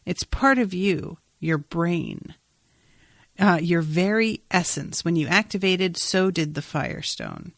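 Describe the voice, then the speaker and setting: A woman's voice: lowish voice, sing-song, slow, vocal fry, dry recording. A woman podcast host talking through a TV episode in an informal, rambling way with exclamatory asides. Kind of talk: casual TV recap chat.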